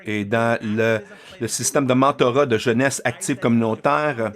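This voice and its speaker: A man giving an interpreted keynote speech to parents and educators. He pauses often, with many filler sounds and restarts. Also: mid-range voice, fairly even intonation. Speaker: keynote speaker.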